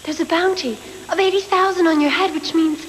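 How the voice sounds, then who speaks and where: A woman's voice: extremely high pitch, steady tone, a little fast. A woman acting a devoted, anxious, girlish young warrior, earnest and emotional, in an English anime dub. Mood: alarmed. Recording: hissy VHS tape, hollow reverb.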